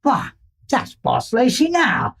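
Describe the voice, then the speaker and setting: Slow, gravelly outlaw henchman. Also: extremely high pitch, wildly swooping pitch, fairly bright tone, full character voice. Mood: admiring, amused. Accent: American Western drawl. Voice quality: gravelly, raspy. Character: gruff male outlaw henchman, seasoned, bossy, amused. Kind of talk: Western radio play acting.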